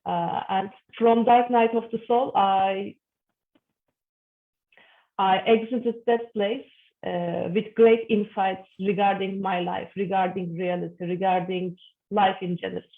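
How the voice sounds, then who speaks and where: A woman of about thirty-two with a Turkish accent and a mid-pitched voice. She speaks as an interview guest, giving an earnest personal account in deliberately chosen phrases. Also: fairly even intonation, narrow, tinny audio. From Istanbul, Turkey. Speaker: guest, psychologist and yoga teacher.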